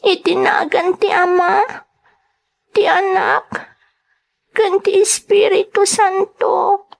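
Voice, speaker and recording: A woman's voice: very high-pitched, flat delivery, slow, vocal fry, full character voice. A woman playing a poor, hurt but determined young schoolgirl in an Ilocano drama, with a Filipino Ilocano accent and a plaintive, pleading delivery. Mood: tearful prayer. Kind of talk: Ilocano radio-style drama acting.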